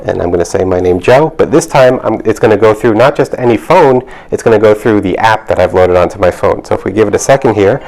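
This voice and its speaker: Low-pitched man explaining a product. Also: quick pace, hardly any pauses, darkish tone, low-quality recording. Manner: instructional, step-by-step. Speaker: product demonstrator.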